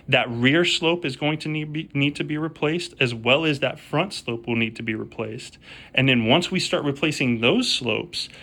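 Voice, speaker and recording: Male contractor, phone negotiation. Forward, bright tone, persistent and repetitive delivery. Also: medium pitch. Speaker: roofing contractor. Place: phone call.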